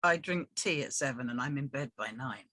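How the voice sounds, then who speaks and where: Husky, hesitant young man, played by a woman. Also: very deep voice, animated, brisk, bright, forceful tone, no echo, clean studio sound, exaggerated voice. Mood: bemused, protesting. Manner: hesitant, bemused. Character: quiet, fresh-faced young man, hesitant new night auditor. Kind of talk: script table-read acting.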